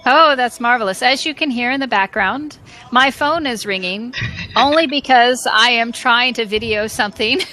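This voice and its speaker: Bright-voiced woman hosting an interview. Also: a little high, normal speed, soft background music, poor audio. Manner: chatty, laughs often. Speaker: host, publisher. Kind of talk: casual interview. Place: home, phone ringing nearby.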